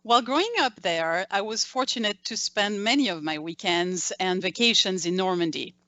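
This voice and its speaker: French woman doing a scripted travel presentation, french accent, polite and measured, really dry sound. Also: mid-range voice, pushed and bright, no echo, highs cut off. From France. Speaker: company presenter.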